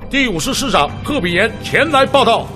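A man's voice: extremely high pitch, lively intonation, a little fast, pushed and bright, faint music behind, exaggerated voice. A man playing an eager, obedient young Red Army soldier in a radio drama, with clipped military delivery. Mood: eager, resolute. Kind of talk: Chinese radio drama acting.

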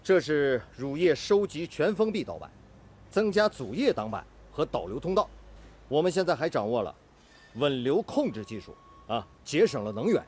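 A man's voice: a little high, very animated, moderate pace, a little mellow. A male actor playing a stubborn, inventive steel-mill technician and mentor in a Chinese radio drama. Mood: keen, explanatory.

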